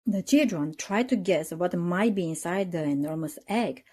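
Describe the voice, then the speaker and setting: A woman reading a children's storybook aloud in an expressive narrating style. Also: fairly low pitch, normal speed, husky. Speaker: storybook reader.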